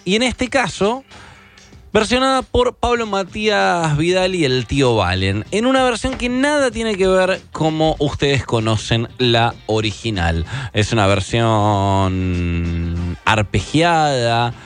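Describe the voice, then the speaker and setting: A man's voice: a little high, slow pace, soft background music. A man with an Argentine accent hosting a radio show, chatting casually and rambling with fillers as he introduces a song from the studio. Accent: Argentine Spanish. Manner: relaxed, rambling, with fillers and self-corrections. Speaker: radio host.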